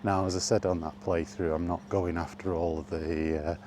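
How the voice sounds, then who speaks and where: A man's voice: deep voice, flat delivery, moderate pace, a little mellow, a little hiss or hum. A man chatting casually in a rambling, hesitant vlog style, thinking aloud as he goes. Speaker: gaming content creator and podcaster.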